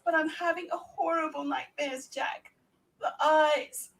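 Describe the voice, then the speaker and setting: A young Victorian lady, acted out by a woman in a gothic radio play. Her voice is high and theatrical, with the melodramatic delivery of a sheltered bride-to-be. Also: steady tone, a little slow, a bit bright, rough recording. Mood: frightened, pleading.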